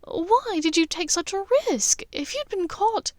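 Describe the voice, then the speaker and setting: A woman's voice: very high-pitched, lively intonation, unhurried, dry recording, clean studio sound, voice pushed up. A woman playing an earnest, decisive young witch sweetheart, speaking emphatically. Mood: incredulous.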